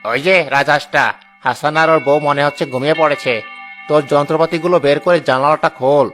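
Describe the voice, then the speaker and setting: A scornful, scheming village bully from a cartoon, acted by a man, pitched on the high side. His delivery is taunting and domineering, over faint music. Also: fairly even intonation, quick pace, a bit bright, close to an everyday voice. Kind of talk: Bengali cartoon story dialogue.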